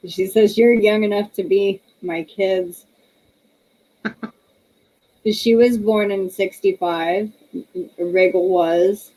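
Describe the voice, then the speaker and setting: Slow-talking woman in her thirties. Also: mid-range voice, fairly even intonation, mellow, rough recording. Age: 30s. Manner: chatty, laughs often, reads comments aloud. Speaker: livestream host. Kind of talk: casual chat reading viewer comments.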